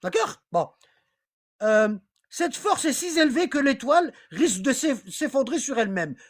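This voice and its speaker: High-voiced rambling teacher on a call. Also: big pitch swings, normal speed. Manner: repetitive, rambling, checks for understanding; reads aloud from text, then comments. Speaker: religious teacher. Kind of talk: informal online class.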